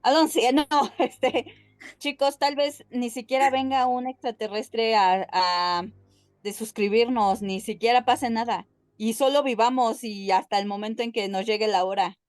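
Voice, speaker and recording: Female podcast co-host, slightly high voice, informal chat, light music behind. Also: unhurried, no room sound. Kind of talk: casual chat.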